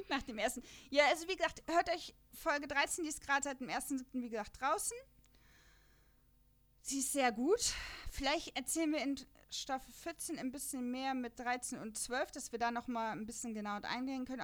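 A chatty woman hosts a relaxed podcast conversation and often laughs mid-sentence. Her voice sits a little higher than most. She keeps the talk moving in an easygoing way. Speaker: podcast host. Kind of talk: casual podcast chat about radio dramas.

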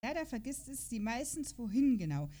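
A woman's voice: fairly high pitch, big pitch swings, a little fast, mellow. A woman in a casual podcast chat, playful and giggly, reading character profiles aloud and stumbling over words. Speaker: podcast host.

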